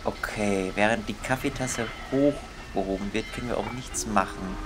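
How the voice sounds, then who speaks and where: A man's voice: fairly low pitch, moderate pace, over music. A young man giving casual gaming commentary in a grumbling, comically exasperated manner. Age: young adult. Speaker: let's player.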